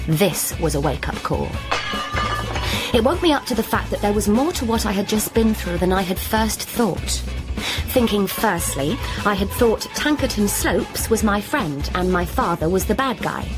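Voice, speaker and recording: An actress playing a plucky, puzzled heroine who narrates a surreal comic radio drama in a wry, earnest way. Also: female voice, medium pitch, natural voice. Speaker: lead actor and narrator. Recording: music behind the voice.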